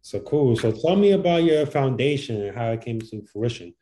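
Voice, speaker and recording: A man's voice: medium pitch, lively intonation, moderate pace, dark tone, rough recording. A man hosting a casual video-call interview, asking friendly, conversational questions. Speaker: interview host.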